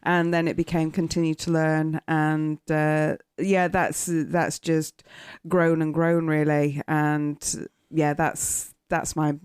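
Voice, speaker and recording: British woman in her 50s, loud chatty guest, lowish smooth voice. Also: level tone, slow, hardly any pauses, no echo, clean studio sound. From United Kingdom. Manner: chatty, warm storytelling with frequent fillers and laughs. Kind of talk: casual podcast interview.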